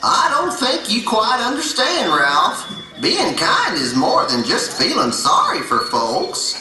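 A performer voicing a patient, folksy animal puppet in a children's religious show, explaining gently with a drawl and dropped endings. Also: mid-range voice, wildly swooping pitch, slow pace, full character voice. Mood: folksy, curious. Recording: echoey hall, distant mic, music behind.

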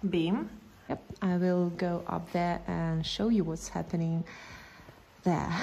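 A woman's voice: fairly low pitch, darkish tone. A woman in her thirties vlogging her house renovation, chatty and cheerful, filming on a phone at arm's length. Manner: chatty, cheerful, laughs often. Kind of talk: casual vlog narration. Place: outdoors on a lakeside hill, then an empty house under renovation. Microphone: phone held at arm's length.

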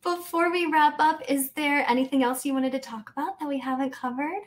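A woman's voice: high voice, brisk. A woman in her thirties hosting a podcast interview in a friendly, attentive, polite way. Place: living room at home, indoors, video call.

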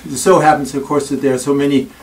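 A man's voice: fairly high pitch, fairly even intonation. Older Danish-accented male lecturer, wry and reflective; far-off camera audio with faint noise. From Denmark. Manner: thoughtful and wry, with pauses and self-deprecating asides. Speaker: speaker giving a library talk. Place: wood-paneled library room. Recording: distant room recording with faint noise.

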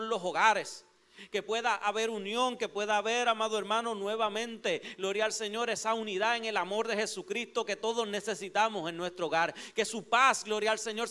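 A man's voice: sits high, sharp tone. A man in his thirties with a Puerto Rican accent, preaching a fervent sermon from a church pulpit into a handheld mic. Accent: Puerto Rican Spanish. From Puerto Rico. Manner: fervent preaching, frequent "gloria al Señor" refrains. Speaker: pastor.

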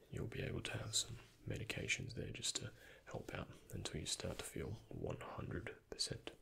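A young man speaking in a breathy, hushed ASMR whisper, gently and unhurriedly, close to the microphone as in a doctor roleplay. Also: extremely low pitch, huge pitch swings, moderate pace. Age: young adult. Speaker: ASMR creator playing a doctor. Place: indoors, close before a dark backdrop.

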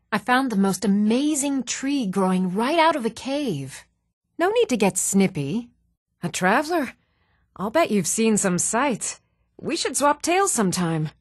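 An actress playing a plain-spoken, friendly young village wife in a fantasy video game, conversational and sincere. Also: female voice, mid-range voice, dry recording, studio quality.

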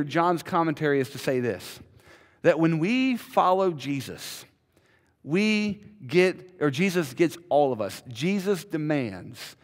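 Pastor preaching in a reverberant hall. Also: male voice, a little high. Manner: emphatic, repeats words as he builds a point. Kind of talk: sermon. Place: large church sanctuary, indoors. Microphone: podium mic, filmed from a far camera.

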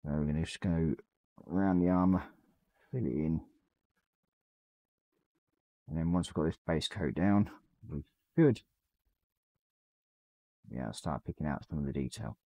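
A man in his forties with a slightly croaky voice, casually chatting on a live stream and reading out viewer messages as he paints. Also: extremely low pitch, a little slow, long pauses, no echo. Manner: reads chat messages aloud, relaxed and rambling. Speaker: livestream host. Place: small hobby room at home.

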